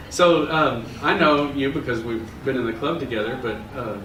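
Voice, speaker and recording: A man's voice: mid-range voice, normal speed, fairly bright tone. A man interviewing a club member in a casual, friendly way, laughing often and using hesitant fillers. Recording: echoey room, distant mic, noisy.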